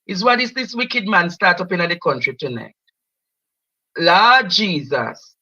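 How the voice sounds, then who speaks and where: High bright Jamaican man hosting call-ins. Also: lively intonation, unhurried, slightly rough, lo-fi sound. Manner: exclaiming, blessing callers. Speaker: call-in show host. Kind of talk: call-in talk show.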